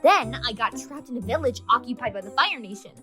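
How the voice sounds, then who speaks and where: A woman voicing a principled teenage waterbender girl, speaking in an earnest, expressive way, in a cartoon dub. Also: a little high, brisk, faint music behind. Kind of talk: fan comic dub acting.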